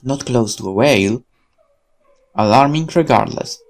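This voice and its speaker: A man whose first language is Polish reads a horror game aloud for his viewers, with a gravelly, fry-laced voice. His pitch moves in a lively way, and he breaks off for asides and quick corrections. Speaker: let's play host. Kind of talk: reading a horror visual novel aloud.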